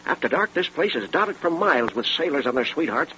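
A man's voice: a little high, very animated, brisk, sharp tone. An actor playing an observant British policeman examining evidence, in 1930s radio style. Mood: brisk, observant. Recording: noisy, muffled 1930s radio recording.

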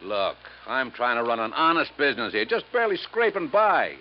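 An actor playing a shady, harried American store owner in a 1950s radio drama, brash, slangy and impatient. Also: male voice, mid-range voice, very animated, normal speed, close to an everyday voice. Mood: defensive, blustering. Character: shady, blustering, harried cut-rate mattress store owner. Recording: muffled, hissy 1950s radio recording.

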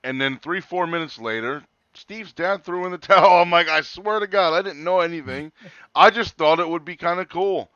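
A man in his forties with a bright, forceful voice tells stories in casual co-host chat. The pitch is average, and the recording is dry but somewhat dull and lo-fi. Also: moderate pace, no room sound. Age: 40s. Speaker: co-host of a wrestling talk show.

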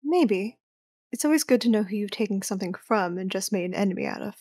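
A woman playing a cool, sardonic young operative and teasing sister in a sci-fi audio drama, with a deadpan delivery. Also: medium pitch, fast talker, no room sound, natural voice. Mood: cool, assured.

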